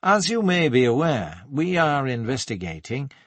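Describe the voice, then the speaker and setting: A man's voice: fairly high pitch, sing-song, moderate pace, vocal fry, fairly bright tone, no echo, heavily acted. A man voicing a stuffy, formal male police detective who is easily flustered, in an audiobook.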